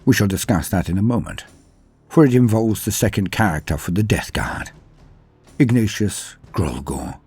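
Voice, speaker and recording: A man's voice: lowish voice, lively intonation, moderate pace, darkish tone, slightly rough, soft background music. A man narrating scripted lore in a grandiose, theatrical storytelling manner. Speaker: narrator and presenter of a lore channel.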